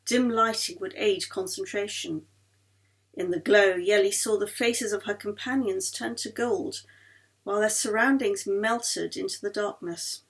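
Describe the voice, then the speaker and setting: A woman reading a novel aloud as its author, in an expressive storytelling style. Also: medium pitch. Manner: acts out dialogue of characters. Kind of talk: expressive audiobook narration.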